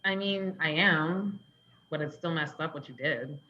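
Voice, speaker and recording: A woman's voice: deep voice, measured pace. A woman playing a candid, placating character in a comedic table read, speaking conversationally, on a slightly dull recording.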